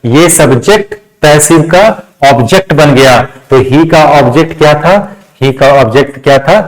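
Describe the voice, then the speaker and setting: A man's voice: on the high side, moderate pace, poor audio. A man with an Indian accent teaching a lesson step by step, repeating key phrases and answering his own questions. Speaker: English grammar teacher. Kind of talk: classroom lesson, step-by-step explanation.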